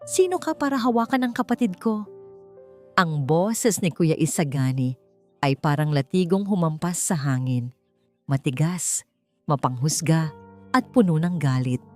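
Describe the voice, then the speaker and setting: A woman's voice: sits low, darkish tone. A woman reading a scripted love story as a dramatic narrator. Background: faint music.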